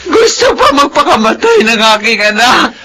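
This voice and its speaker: A woman's voice: high-pitched, very animated, moderate pace, pushed and bright, a little hiss or hum, heavily acted. An actress playing an anguished, despairing middle-aged Filipino mother in a radio drama, pleading with great emotion. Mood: anguished, tearful.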